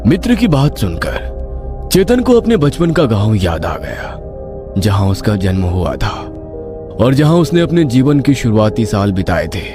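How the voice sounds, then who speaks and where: A man's voice: lowish voice, sing-song, unhurried, over music. A man reading a scripted horror story as its narrator.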